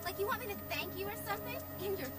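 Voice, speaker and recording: High-pitched bratty young woman. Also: animated, very fast, loud music behind the voice. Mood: sneering sarcasm. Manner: sneering, sarcastic. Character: bratty, sarcastic, foul-mouthed young woman, spoiled daughter. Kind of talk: video game voice acting.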